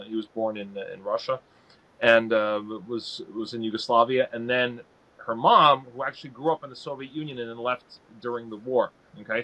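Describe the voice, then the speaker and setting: A man's voice: medium pitch, bright, forceful tone, poor audio. A man from the United States co-hosting a podcast, recounting his family background in long, rambling turns with fillers. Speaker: co-host. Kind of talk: casual podcast chat.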